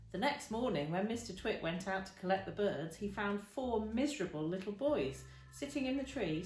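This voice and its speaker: A woman reading a children's storybook aloud with expressive, emphatic narration. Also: medium pitch. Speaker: storybook reader. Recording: roomy, echoing indoor sound.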